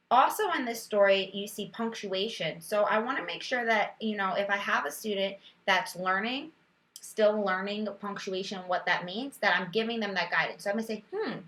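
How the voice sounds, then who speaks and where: A woman teacher demonstrating a children's read-aloud, moving between explanation and expressive, sing-song reading with questions for a child. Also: medium pitch. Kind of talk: read-aloud lesson with reading tips for parents. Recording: roomy echo.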